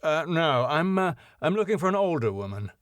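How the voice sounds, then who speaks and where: A man's voice: fairly high pitch, big pitch swings, fairly quick, dry recording, pitched above the performer's own voice. A fussy sitcom club manager, acted out by a man, pompous and easily panicked. He exclaims primly in a raised, indignant voice, recorded close and dry. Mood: flustered, indignant. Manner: flustered, indignant, prim exclamations.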